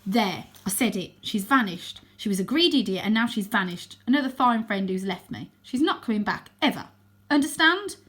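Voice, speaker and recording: A woman voicing a brusque, hot-tempered female cat in a children's storybook, sharp and scornful. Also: medium pitch, expressive, moderate pace, husky. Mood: angry, upset. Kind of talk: children's storybook character reading.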